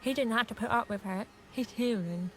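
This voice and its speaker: An actress playing a frustrated, defiant young deaf woman in a radio drama, plainly confiding and quick to flare up. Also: on the high side, slight background noise, natural voice. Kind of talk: radio drama acting and first-person narration.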